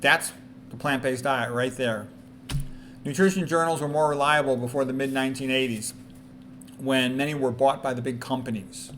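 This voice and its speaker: A man's voice: mid-range voice, fairly even intonation, normal speed, fairly bright tone. A man presenting informally, reading quotations aloud and adding emphatic commentary, often repeating key statements. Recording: noticeable background noise.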